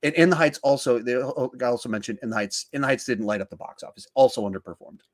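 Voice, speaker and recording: A man's voice: mid-range voice, quick pace. A man, hosting a podcast and talking film in a casual, conversational style. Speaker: podcast host.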